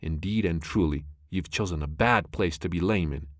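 A man voicing a vengeful female cobra in a storybook, hissing out slow, deliberate threats. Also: deep voice, dry recording, clean studio sound, lightly acted. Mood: menacing.

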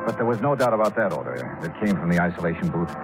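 Hard-boiled American radio detective, widely inflected. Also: male voice, low voice, big pitch swings, fast, loud music behind the voice, rough recording. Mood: grim. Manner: clipped, commanding, hard-boiled. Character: tough, probing freelance male insurance investigator. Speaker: narrator and lead actor. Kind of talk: 1950s radio detective drama acting. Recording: 1950s radio broadcast recording.

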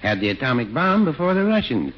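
An American actor playing a mild, hesitant, gently contrary small-town druggist with a droll, understated manner in 1940s radio comedy. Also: male voice, medium pitch, very animated, fairly quick, lightly acted. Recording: muffled, noisy 1940s radio recording.

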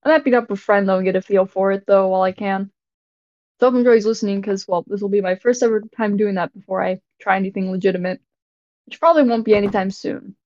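A woman hosting a casual podcast in a fairly deep voice, rambling and stumbling over her words a little. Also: fast, a little mellow, no room sound. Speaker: podcast host. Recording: dull lo-fi dry audio.

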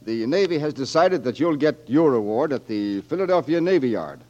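An actor playing a brisk, authoritative military officer who speaks matter-of-factly, in 1940s radio acting. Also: male voice, medium pitch, expressive, a little mellow, lightly acted. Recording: dull lo-fi old radio recording.

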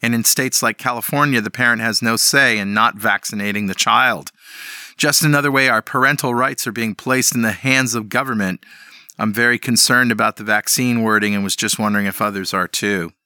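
A man's voice: lowish voice, fairly even intonation, sharp tone, dry recording, studio quality. A man hosting a podcast, reading a listener email aloud and chatting with guests. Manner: reads listener emails aloud, quick interjections. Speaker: podcast host. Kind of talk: casual podcast chat.

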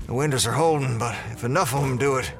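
A man's voice: mid-range voice, fast, lightly acted. A man acting a serious, take-charge small-town sheriff in an audio drama, speaking earnestly. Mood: urgent, commanding.

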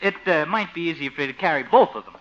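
An actor playing a lazy, brazen young freeloading cousin with a breezy, shameless manner in a 1950 radio comedy. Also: male voice, a little high, animated, fast talker, a bit bright. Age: young adult. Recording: muffled old radio recording.

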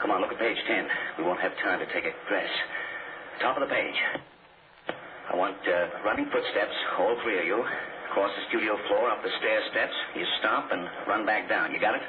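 A curt, impatient American radio director, played by a male actor in a 1940s radio drama. His voice is on the low side and he snaps out slangy orders. The old broadcast recording is thin and full of noise. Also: phone-quality sound, natural voice.

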